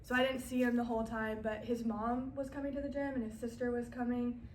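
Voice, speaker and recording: A woman in her twenties with a drawl, chatting as a podcast guest. Her voice sits a touch high and runs loose and conversational. She sounds set back from the mic in a reverberant room with faint hiss underneath. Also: flat delivery, fast talker, darkish tone. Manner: chatty, laughs while telling stories. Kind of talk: casual storytelling. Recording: echoey room, mic at a distance, faint noise.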